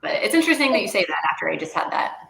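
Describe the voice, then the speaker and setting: A woman's voice: fairly low pitch, very animated, rapid-fire, creaky, a bit bright, slight background noise. A woman guest in a casual panel chat, bubbly and laughing between phrases. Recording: echoey room with faint ambience.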